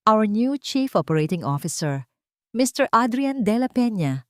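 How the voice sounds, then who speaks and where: A woman voicing a confident, powerful rich businesswoman who gives a loud, formal speech in an audio story. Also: on the high side, big pitch swings, moderate pace, no echo, clean studio sound, voice pushed up. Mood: commanding.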